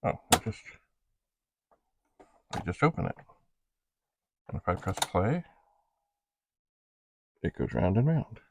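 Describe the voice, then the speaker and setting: A man's voice: deep voice, huge pitch swings, slow, creaky, pauses often, heavy background noise. A man chatting casually as a video host, rambling and thinking aloud as he shows an item. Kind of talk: casual unboxing chat.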